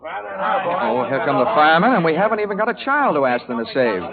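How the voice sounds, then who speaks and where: An actor playing a wisecracking, hard-boiled American investigator with a dry, clipped, flippant manner in 1940s radio detective style. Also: male voice, a little high, sing-song, fairly quick, creaky, crowd noise. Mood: wry. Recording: muffled 1940s radio recording, long reverb, faint music.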